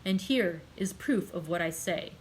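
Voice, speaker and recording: A woman voicing a calm, commanding nobleman who speaks slowly, quietly and with measured firmness in an audiobook. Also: lowish voice, a little hiss or hum, poor audio. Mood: firm. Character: calm, commanding older nobleman, gently stern. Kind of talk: audiobook character reading.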